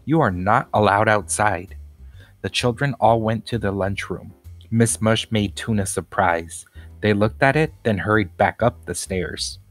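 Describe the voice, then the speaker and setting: A man, a teacher, reading a children's storybook aloud with steady, plain narration. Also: fairly low pitch, measured pace, dry recording, faint music behind.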